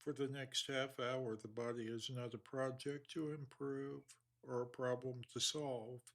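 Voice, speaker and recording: A man guides a body scan meditation at a very slow pace. His mid-pitched voice stays level with little melodic movement. He gives each instruction in a measured, soothing way and leaves long pauses between phrases. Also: level tone.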